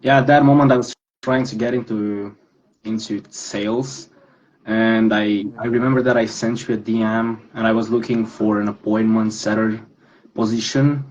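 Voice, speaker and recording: A man's voice: fairly low pitch, steady tone, normal speed, a little mellow. Earnest 19-year-old man from Romania, lo-fi audio. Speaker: guest, digital creator. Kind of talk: casual interview over a video call. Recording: dull lo-fi call audio.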